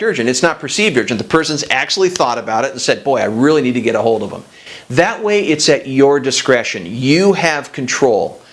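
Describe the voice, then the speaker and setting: Male coaching host, mid-range voice, directive and instructional, speaking straight to the viewer. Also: mid-range voice. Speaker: host of a business coaching show.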